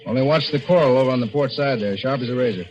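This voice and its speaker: A man's voice: medium pitch, rapid-fire, dull audio, a little hiss or hum, lightly acted. A man playing a plain-spoken, watchful pump man on a boat, casual and matter-of-fact, in old-time radio drama. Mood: casual caution.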